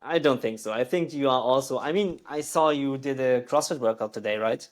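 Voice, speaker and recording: A man hosts a casual podcast interview, his questions full of hesitant restarts and small laughs. His voice sits at an ordinary middle pitch for a man. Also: a little fast. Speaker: podcast host.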